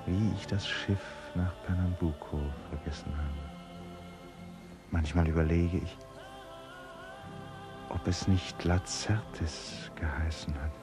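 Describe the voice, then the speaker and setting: An actor playing a reflective painter in a German radio play, speaking in a measured, pensive way. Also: male voice, sits low, fairly even intonation, music in the background. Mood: pensive narration. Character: reflective male painter recalling a jungle expedition. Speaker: radio play narrator and lead. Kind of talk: 1950s-70s German radio play acting, first-person narration and dialogue.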